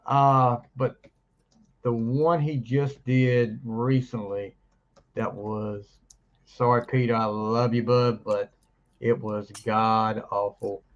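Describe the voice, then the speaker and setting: Rambling man host, hoarse from flu. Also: medium pitch, fairly even intonation, slow, lo-fi sound. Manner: rambling, laughs often, trails off mid-sentence. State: recovering from flu, voice deeper than usual. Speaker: podcast co-host. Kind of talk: casual chat.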